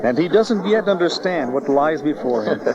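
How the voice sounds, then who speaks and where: A man playing a hearty eastern traveller in a dramatized audio Bible, with a booming, exclamatory delivery. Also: lively intonation, moderate pace, close to an everyday voice. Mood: wondering. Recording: dull cassette, crowd and animals.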